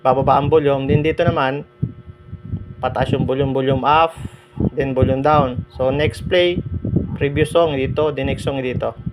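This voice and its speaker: Male moto vlogger, mid-pitched, casual halting review, noisy background. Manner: relaxed, halting, many fillers. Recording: clear background noise.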